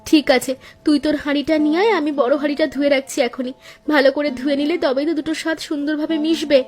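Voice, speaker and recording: A woman's voice: sits high, steady tone, rapid-fire, a little mellow, faint music behind, lightly acted. A woman playing a gracious young daughter-in-law, the elder sister in a cartoon story, earnest and polite. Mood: warm, decisive.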